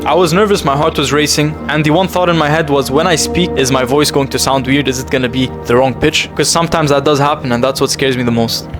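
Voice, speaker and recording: An earnest young man talks straight to camera from his bedroom. His voice sits a little high, and he speaks very fast and with intensity, hammering key phrases again and again. Clear music plays underneath him. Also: steady tone. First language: English. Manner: earnest and intense, repeats phrases for emphasis, calls listener bro. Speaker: self-improvement vlogger. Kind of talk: emotional confessional monologue to camera.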